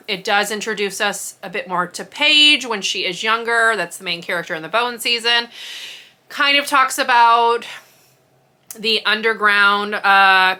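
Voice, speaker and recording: A woman's voice: medium pitch, sharp tone. A woman book vlogger chatting casually to camera, rambling with lots of ums and likes.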